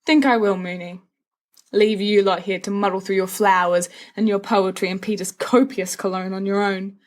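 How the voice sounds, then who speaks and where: A woman's voice: mid-range voice, fairly even intonation, normal speed, lightly acted. A woman voicing a mischievous, dramatic teenage wizard schoolboy with a teasing, theatrical delivery in an audiobook-style story. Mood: theatrically wounded. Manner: theatrical, teasing, flowery speech.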